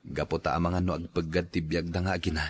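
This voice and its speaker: A man's voice: low-pitched, little pitch movement, quick pace, a little hiss or hum, close to an everyday voice. A man playing an unhappy young husband and father in an Ilocano radio drama, earnest and sorrowful. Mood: wistful.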